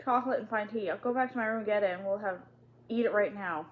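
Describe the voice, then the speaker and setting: A woman's voice: mid-range voice, fast, narrow, tinny audio, lightly acted. A woman reading aloud voices a timid, nervous young schoolgirl with an anxious, breathless manner. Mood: eager.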